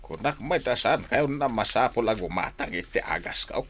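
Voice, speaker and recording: A man's voice: lowish voice, lively intonation, moderate pace, fairly bright tone. Stubborn grumbling old father, swooping voice. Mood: stubborn, evasive. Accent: Ilocano. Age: older. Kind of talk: Ilocano radio drama acting.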